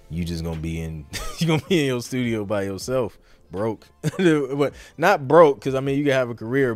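A man with a fairly low voice talks casually as the host of a live Q&A podcast. His delivery is informal and slangy, wandering conversationally like a working producer giving advice. Some music plays faintly behind him.

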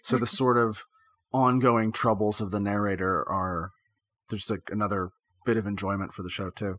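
A man co-hosting a podcast, speaking in a measured, thoughtful way about a TV show. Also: fairly low pitch, fairly even intonation, a bit bright. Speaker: podcast co-host. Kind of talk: casual chat about a TV show.

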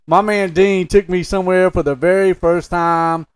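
A man's voice: sits high, fairly even intonation, moderate pace, smooth, no room sound. A man with a Southern US accent co-hosting in casual, teasing banter, needling and repeating himself for emphasis. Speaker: podcast co-host.

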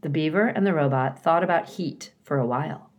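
A woman narrating a children's novel aloud as an expressive storybook reader. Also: sits low, fairly quick.

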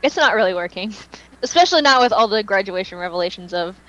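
A woman hosts a casual fan podcast. She talks in a chatty, opinionated way at a medium pitch, leaning on "like" and the odd swear word as she works through her thoughts. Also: lively intonation, quick pace, fairly bright tone, highs cut off.

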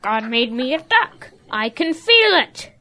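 Woman playing a bright, high-voiced stubborn little calf. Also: sing-song, slow pace, exaggerated voice. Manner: childlike, insistent. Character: stubborn young calf who insists on being a duck. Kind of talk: children's audio drama acting.

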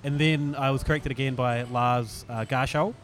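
A man's voice: mid-range voice, steady tone, normal speed. A man with a New Zealand accent chatting informally as a podcast host, self-deprecating and happy to swear. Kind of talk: casual podcast chat.

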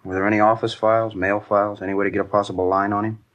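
An actor playing a businesslike police detective in a 1950s radio crime drama, asking clipped, matter-of-fact questions. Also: male voice, fairly low pitch, level tone, rapid-fire, fairly bright tone, dull audio, lightly acted. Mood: probing. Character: brisk, businesslike male police detective partner.

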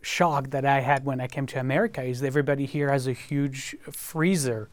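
A man from France with a French accent, a guest on a panel discussion who talks haltingly, with many fillers and repeated words. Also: mid-range voice, fairly even intonation, no echo.

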